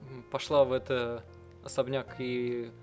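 A man voicing chatty, chiding young women in an improvised, halting game dub. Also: mid-range voice, level tone, darkish tone, soft background music, close to an everyday voice.

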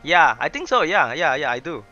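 Bright, animated man streaming a game. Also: on the high side, moderate pace, muffled recording. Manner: animated, exclamatory, playful. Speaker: streamer, virtual avatar host. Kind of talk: casual livestream game commentary, reading dialogue aloud. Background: game music.

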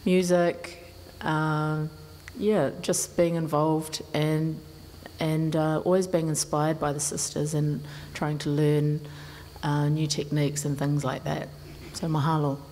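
A soft-spoken woman with a New Zealand accent introduces herself slowly, full of pauses. Her voice is very low and flat. Long gallery reverb trails her words on a stand mic. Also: slight background noise. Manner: soft-spoken, many ums. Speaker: panelist, performer. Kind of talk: hesitant self-introduction. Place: indoor art gallery.

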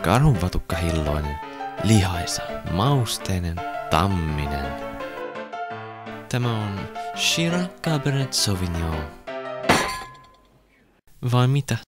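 A man's voice: low-pitched, big pitch swings, long pauses. A man playing a vain, pompous villain posing as a connoisseur in an anime parody dub, speaking theatrically. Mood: smug. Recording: loud music behind the voice.

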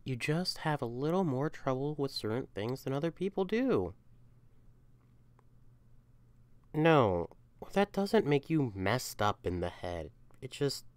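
A woman's voice: a bass voice, very animated, unhurried, darkish tone, dry recording, exaggerated voice. A woman voicing an affectionate, protective young catperson comforting a lover in a roleplay audio, tender, coaxing and emphatic. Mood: upset, pleading.

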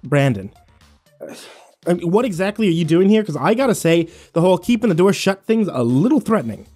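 A man's voice: high-pitched, very animated, fairly quick, a little mellow, faint music behind. An actor playing a weary, irritable hospital patient who tells his story in a sullen, sardonic first-person horror narration. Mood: wary, dry.

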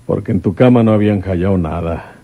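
An actor playing a plain-spoken, protective country detective in a radio drama, with a husky voice and rural northern Mexican accent. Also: male voice, on the low side, no echo, phone-quality sound. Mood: confiding. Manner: folksy country talk.

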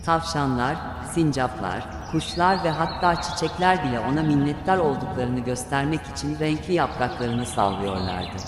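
A man's voice: mid-range voice, lively intonation, moderate pace, hardly any pauses, music in the background. A man narrating a scripted children's fairy tale as a storyteller. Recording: echoey long reverb, background sounds.

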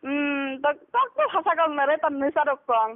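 A woman's voice: high-pitched, expressive, brisk. A woman with a bantering casually in a taunting, sarcastic manner over a phone call. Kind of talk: casual teasing phone argument. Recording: tinny, band-limited phone call recording.

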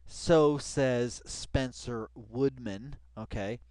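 A man reading along with an article and commenting casually, rambling and excitable, repeating phrases for emphasis and swearing freely. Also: mid-range voice, very slow, smooth, no room sound. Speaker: video commentator.

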